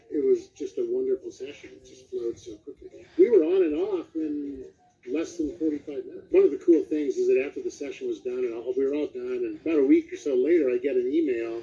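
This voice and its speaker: A man's voice: mid-range voice, warm tone. A middle-aged man, a voice actor being interviewed, telling anecdotes casually with dry, self-deprecating humor. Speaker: guest voice actor. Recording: dull, muffled lo-fi audio.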